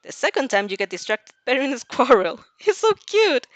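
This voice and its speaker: A woman's voice: high-pitched, sing-song, normal speed, no room sound, lo-fi sound. A woman reading a fan story aloud informally, giggly and stumbling over lines as she reacts to it. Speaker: narrator and reactor of fan fiction.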